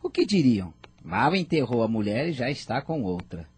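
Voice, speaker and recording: An actor playing a polite, lovelorn young widower in a radio soap opera. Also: male voice, mid-range voice, sing-song, normal speed, slightly rough, faint music behind. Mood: wistful.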